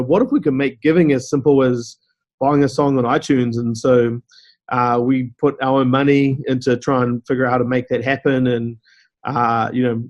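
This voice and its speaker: A man with a New Zealand accent answering interview questions at mid pitch. He explains things steadily and often says "you know". The recording sounds a little dull and lo-fi. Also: darkish tone. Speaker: guest, company CEO.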